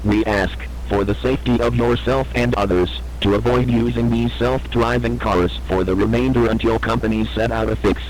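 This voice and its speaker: A man's voice: mid-range voice, fairly even intonation, moderate pace, few pauses, slight background noise, natural voice, low-quality recording. A man playing a grave emergency broadcast announcer, reading a formal, solemn alert. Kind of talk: scripted emergency alert narration.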